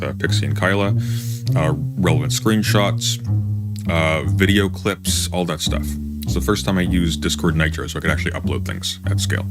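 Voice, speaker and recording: A man with a very deep voice gives casual live commentary. He sounds defensive and hammers his points by repeating them. Music plays clearly beneath him. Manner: defensive, emphatic repetition. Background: music underneath.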